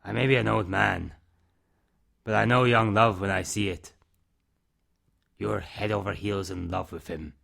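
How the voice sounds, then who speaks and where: A man playing a knowing, teasing old man with a gravelly voice in an audio drama. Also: on the low side, steady tone, a little slow, long pauses, a bit bright, full character voice.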